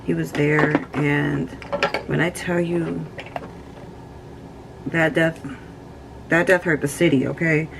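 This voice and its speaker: A woman's voice: low voice, level tone, takes it slowly, vocal fry, pauses often, heavy background noise. A woman in her twenties talking casually and candidly while doing her makeup, swearing freely and using lots of fillers. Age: 20s. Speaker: beauty vlogger. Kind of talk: casual get-ready-with-me chat.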